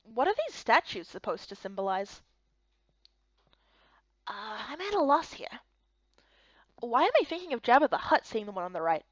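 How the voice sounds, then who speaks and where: A woman's voice: on the high side, big pitch swings, normal speed, pauses often, no echo, highs cut off. A woman voicing a curious, kindhearted young heroine in a fantasy game. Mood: awed, curious.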